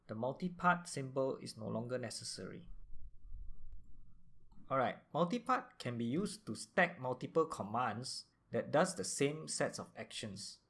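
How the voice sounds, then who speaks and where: A man with a mid-pitched voice narrates a step-by-step software tutorial. He speaks as an instructor, marking out each stage for the listener, close on a desktop microphone. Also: breathy edge. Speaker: tutorial host.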